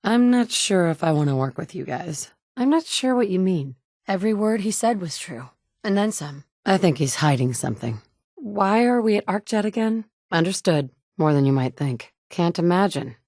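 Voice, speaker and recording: Game survivor heroine, blunt, wry, lowish voice, dry studio sound. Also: female voice, expressive, normal speed, darkish tone, no room sound. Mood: sardonic. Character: tough, wry woman survivor searching for her son. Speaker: player character. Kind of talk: video game voice acting. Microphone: close studio mic.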